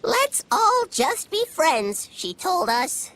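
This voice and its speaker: A woman voicing a tiny, cheerful, excitable male critter spirit with a squeaky cartoon voice and folksy talk, in an animated game. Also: slow, dry recording, full character voice. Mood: fond, playful. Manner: folksy, colloquial, exclamatory. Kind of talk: animated game cutscene acting.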